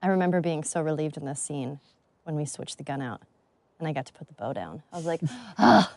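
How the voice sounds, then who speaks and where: A woman performer giving casual, reflective commentary, expressive and acting out her reactions. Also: low-pitched, a little fast, vocal fry, no room sound.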